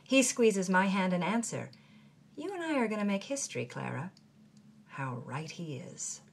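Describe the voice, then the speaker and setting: A woman giving a theatrical, scripted narration of a memoir, dropping to a breathy hush in quiet moments. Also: medium pitch, sing-song, moderate pace, slight background noise. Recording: music bed under the voice.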